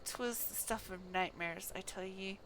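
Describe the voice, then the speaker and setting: Gruff village shopkeeper for a fantasy game, played by a woman, folksy and grumbling, unhurried, bright tone. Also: medium pitch, slight background noise, rough recording. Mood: grumbling, weary. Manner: rustic colloquial speech, dropped consonants.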